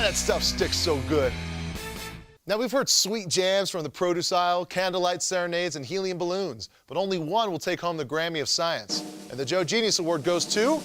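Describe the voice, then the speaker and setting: A man hosting a science show, reading scripted narration in a tongue-in-cheek, wisecracking way. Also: high voice. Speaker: show host. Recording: music bed under the voice. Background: music.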